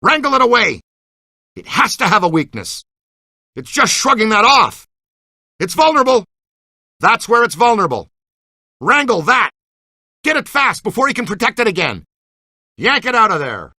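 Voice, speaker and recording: Excitable, bright-voiced ghost hunter guy. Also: high voice, moderate pace, long pauses, dry recording, clean studio sound, exaggerated voice. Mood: urgent, shouting. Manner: enthusiastic, slangy, folksy team-leader talk. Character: eager, excitable middle-aged male ghost hunter mentoring a rookie. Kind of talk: video game voice acting.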